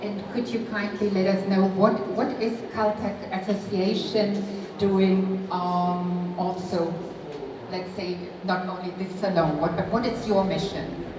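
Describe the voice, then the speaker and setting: A woman moderating a press conference, polite and a little hesitant, pausing on fillers. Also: medium pitch, steady tone. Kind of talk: formal moderating. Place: large historic hall. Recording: echoey hall, distant mic, background noise.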